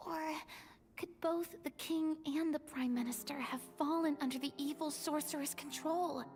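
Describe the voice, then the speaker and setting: A woman's voice: high voice, steady tone, moderate pace, a little mellow, slight background noise, lightly acted. A woman voicing an earnest young adventurer. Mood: pondering. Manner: earnest, reasoning aloud. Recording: game audio with faint music underneath.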